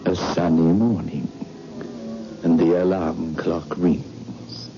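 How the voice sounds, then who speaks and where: An actor playing a sinister, teasing horror radio host, with drawn-out ominous narration on an old 1960s recording with music behind. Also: male voice, deep voice, highs cut off, full character voice.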